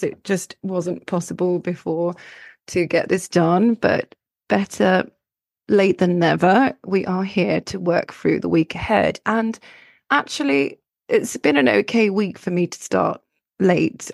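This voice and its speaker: Croaky, gravelly-voiced woman podcaster. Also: fairly low pitch, a little mellow, no room sound, studio quality. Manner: chatty, rambling with many restarts and fillers. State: croaky voice from illness. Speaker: astrologer and podcast host. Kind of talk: solo podcast talk.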